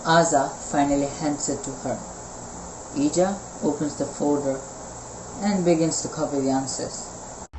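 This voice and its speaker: A woman's voice: low-pitched, fairly even intonation, measured pace, pauses often. A woman reading scripted story narration for an amateur play. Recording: loud noise, insect-like night ambience.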